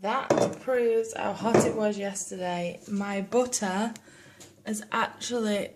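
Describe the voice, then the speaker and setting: A woman's voice: mid-range voice, slow pace, very noisy. A young woman from England, chatting casually in a daily vlog at home, her voice nasal from a blocked nose. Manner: chatty and excitable. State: congested, nasal. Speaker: vlogger. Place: home, indoors.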